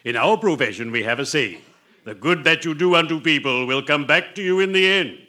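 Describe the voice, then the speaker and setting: An actor playing a friendly, gloomy undertaker in an old radio comedy, speaking with pompous, morbidly genteel theatrical deadpan. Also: male voice, fairly high pitch, fairly quick. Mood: solemn warning. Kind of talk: 1940s radio comedy acting. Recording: bright, old radio show recording.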